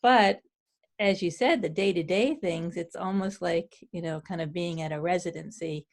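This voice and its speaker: Chatty woman with a lowish voice; casual video-call chat. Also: moderate pace. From central Pennsylvania. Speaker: poet and university teacher.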